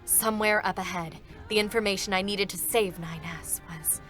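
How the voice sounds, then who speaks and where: A woman's voice: medium pitch, fairly even intonation, moderate pace, a bit bright, close to an everyday voice. An actress playing a young, determined female android soldier, earnest and emotional, in a dramatic first-person reading. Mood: somber, determined. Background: music.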